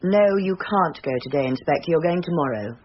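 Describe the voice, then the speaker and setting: Authoritative senior policewoman, dismissive, formal, quick, lively intonation, radio drama, faintly noisy lo-fi recording. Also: low-pitched. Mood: brisk, dismissive. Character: brisk, dismissive female senior police officer. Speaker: actor. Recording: dull lo-fi radio audio, faint noise.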